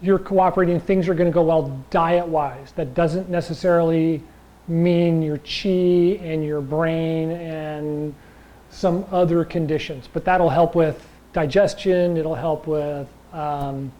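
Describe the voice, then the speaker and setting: Mellow, slightly raspy male instructor. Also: fairly high pitch. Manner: rambling, checks in with listeners. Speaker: chi gung and tai chi instructor. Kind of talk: casual class talk.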